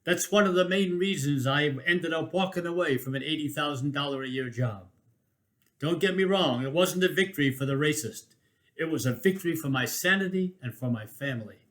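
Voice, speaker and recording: A man's voice: fairly high pitch, fairly bright tone. A man reading a first-person essay aloud as an emphatic, rhetorical storyteller. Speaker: reader of a written personal chronicle.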